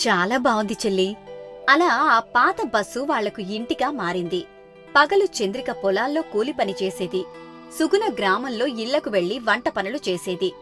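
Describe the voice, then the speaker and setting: High-pitched woman narrating a moral tale. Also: lively intonation, moderate pace, a bit bright, soft background music. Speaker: storyteller. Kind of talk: scripted children's moral story narration.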